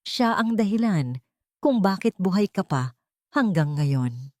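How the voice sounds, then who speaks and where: A woman's voice: mid-range voice, expressive, measured pace, darkish tone, no echo, clean studio sound, lightly acted. A woman voicing a stern, scornful male army general in an audio story, grave and commanding. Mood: scornful, accusing.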